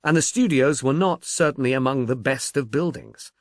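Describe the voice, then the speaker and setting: A man narrating a literary mystery audiobook in a measured, articulate, expressive way. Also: medium pitch, dry recording, clean studio sound.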